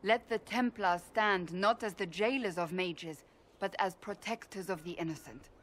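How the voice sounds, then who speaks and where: A woman playing a stern, devout warrior in a fantasy game, speaking formally and with measured, blunt earnestness. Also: mid-range voice, fairly bright tone, a little hiss or hum, close to an everyday voice. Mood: resolute. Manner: formal, measured, deliberate phrasing. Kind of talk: dramatic video game dialogue.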